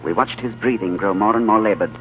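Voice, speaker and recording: An actor playing a calm, courteous English diplomat adventurer, in 1940s radio drama style, telling his tale with composed understatement. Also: on the low side, quick pace, natural voice. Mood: grave, awed narrating. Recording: tinny 1940s radio broadcast, loud music.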